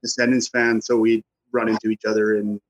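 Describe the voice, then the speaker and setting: Husky man in his forties. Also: lowish voice, little pitch movement, brisk, highs cut off. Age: 40s. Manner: rambling, restarts, easygoing. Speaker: band member, guest. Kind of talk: casual interview chat.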